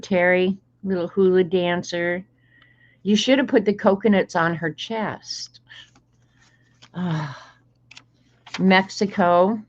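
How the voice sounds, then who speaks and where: Slow, low-voiced woman in her sixties. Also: darkish tone. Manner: chatty, thinks aloud, laughs often. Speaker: streamer opening mail on stream. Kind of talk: casual livestream chat. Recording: slightly dull lo-fi stream audio.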